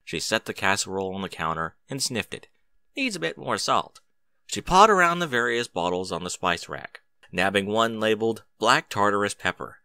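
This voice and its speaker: A man narrating a story in an expressive audiobook style. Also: fairly low pitch, a little fast, clean tone, no room sound.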